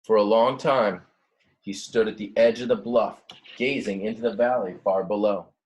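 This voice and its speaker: A man with a fairly low voice reads a children's story aloud. He narrates expressively, in the way of a teacher. His explanations are simple and casual. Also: steady tone, moderate pace, a little mellow, low-quality recording. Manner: explains words simply in plain asides.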